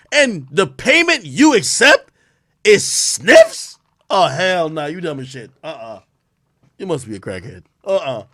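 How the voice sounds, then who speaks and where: Animated, foul-mouthed man streamer reacting. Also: very high-pitched. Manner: animated, profane and loud, mock-judging with laughter. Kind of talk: live stream reaction commentary.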